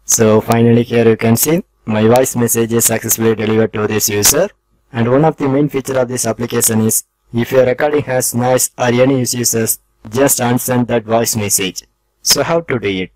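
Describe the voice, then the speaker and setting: A man's voice: mid-range voice, steady tone, normal speed, a little mellow, no echo, rough recording. A man hosting a tech tutorial, narrating scripted step-by-step instructions in a formulaic, instructional way.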